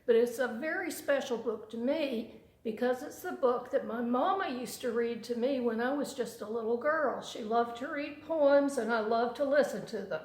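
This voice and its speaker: An older woman, a grandmother, reading a storybook poem to children in an unhurried, dramatic storytelling manner. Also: a little high, a little mellow, reverberant, low-quality recording.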